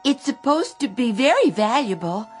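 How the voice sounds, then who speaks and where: A woman's voice: on the high side, big pitch swings, normal speed, soft background music. A woman acting in a radio drama as a timid, needy lady, with a hesitant, faltering, apologetic manner. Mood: timidly hopeful. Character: timid, needy, grateful woman selling junk.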